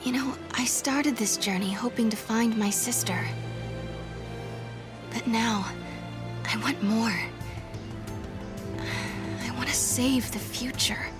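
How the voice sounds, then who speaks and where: An actress playing a sincere, hopeful and determined young woman in a video game, with a gentle, earnest manner. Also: on the high side, takes it slowly, long pauses, music in the background. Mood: earnest, resolute. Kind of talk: video game cutscene acting.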